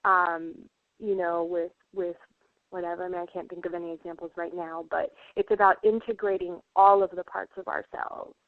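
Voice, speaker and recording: A woman's voice: fairly low pitch, flat delivery, moderate pace. An earnest woman from Puerto Rico speaks as a radio guest, reflective and full of fillers. Her voice comes through a thin, phone-like line. There is no room echo. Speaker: guest healer. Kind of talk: radio interview.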